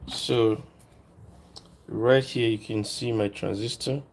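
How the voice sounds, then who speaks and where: A man presenting a casual hands-on electronics tutorial, explaining as he works in a halting, informal way, thinking aloud. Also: on the low side, steady tone, slight background noise.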